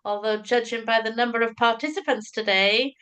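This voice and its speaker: Bright-voiced Welsh woman presenting. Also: a little high, fairly even intonation, a little fast, clean tone. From Wales. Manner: chatty and enthusiastic. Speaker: materials writer and teacher educator. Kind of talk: webinar presentation.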